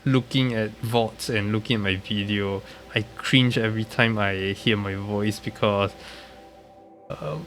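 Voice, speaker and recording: A man with a low, nasal voice commentates casually on his game stream, sounding stuffed up from being sick. He speaks very slowly and haltingly, often trailing off, with music playing behind him. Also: steady tone, fairly bright tone. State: congested, recovering from being sick.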